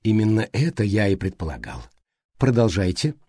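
A man voicing a thoughtful, courteous, exacting male detective in an audiobook, speaking politely and deliberately. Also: lowish voice, sing-song, mellow, slightly rough, no echo, full character voice. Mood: inquisitive. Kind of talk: audiobook character reading.

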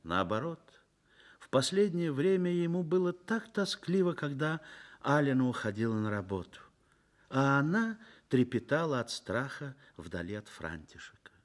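A man narrating a Soviet radio drama in a measured, dramatic storytelling manner. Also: mid-range voice.

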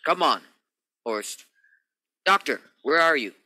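A man's voice: a little high, expressive, takes it slowly, sharp tone, full character voice. A man acting a skeptical, commanding spaceship captain in a radio play, emphatic and authoritative.

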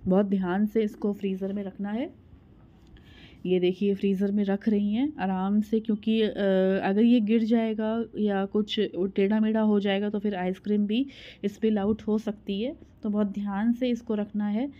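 A woman's voice: mid-range voice, level tone, moderate pace, muffled recording. A woman in her thirties from India, narrating her home vlog in a voice-over, chatty and explaining each step with frequent filler sounds. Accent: Hindi. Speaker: vlogger.

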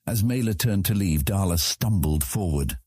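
A man with a husky voice reading scripted fantasy story narration as an audiobook narrator. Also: low-pitched, expressive, normal speed, a little mellow, no room sound, studio quality.

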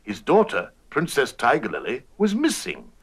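A man's voice: mid-range voice, wildly swooping pitch, moderate pace, fairly bright tone, breathy edge. A man reading a scripted children's story as narrator of a storybook record.

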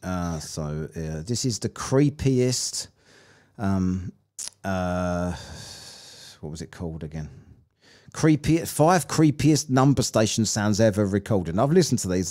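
A man's voice: sits low, sing-song, measured pace, darkish tone. A British man in his thirties hosting a casual podcast, commenting bluntly with plenty of swearing into a close microphone. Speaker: podcast host. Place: small room at home, indoors. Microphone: close podcast microphone on boom arm. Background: music from played videos.

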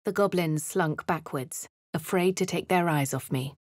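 An actress voicing a posh young woman adventurer in a video game, with a husky, refined delivery. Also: lowish voice, measured pace, no echo. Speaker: player character. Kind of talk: video game narration and dialogue. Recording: dry studio booth.

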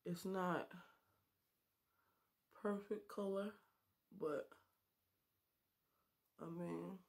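A woman's voice: fairly low pitch, steady tone, very slow, creaky, a little mellow. A woman in her thirties chatting and joking with viewers while doing her makeup, recorded close on a webcam in a small bedroom. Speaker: beauty vlogger. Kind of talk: casual makeup tutorial chat.

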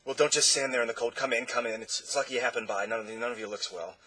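A man voicing an eccentric, pushy male doctor in a storybook, with a hoarse, croaking voice. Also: mid-range voice, steady tone, very fast, sharp tone. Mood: insistent. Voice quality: raspy, croaking.

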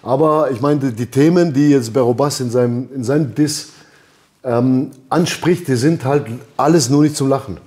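A man in his thirties chats on a leather sofa in a gym by a boxing ring, his voice warm and mellow. He talks in long, loose, rambling runs and laughs often. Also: mid-range voice, normal speed. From Albanian background. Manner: laid-back, rambling, laughs often, acts out quotes. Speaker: podcast host. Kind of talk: casual rap-scene chat. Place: lounge by a boxing ring, indoors.

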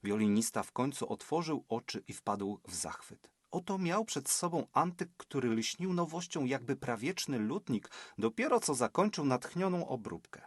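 A man from Poland, a teacher and author, reading a storybook aloud with expressive narration in a recording studio. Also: mid-range voice, moderate pace, hardly any pauses, husky, dry recording. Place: small school recording studio.

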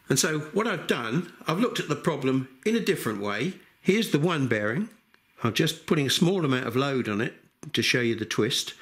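An older man with a croaky voice from a cold, explaining a workshop build step by step, with frequent "okay" and "um". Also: mid-range voice, a bit bright. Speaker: maker demonstrating a build. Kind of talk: hands-on workshop tutorial. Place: workshop bench. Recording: echoey room.

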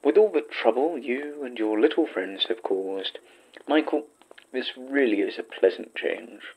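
A smug supernatural villain talks slowly in a husky, breathy voice of medium pitch. The manner is silky and mocking, as in a horror audio drama. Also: male voice, darkish tone, rough recording. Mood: gloating. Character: smug, taunting supernatural villain, falsely polite.

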